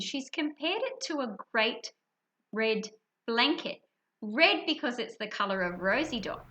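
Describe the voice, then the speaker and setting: A woman presenting an explanatory lesson for children, expressive and stressing key words. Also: high voice, poor audio. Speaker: teacher presenting a reading lesson.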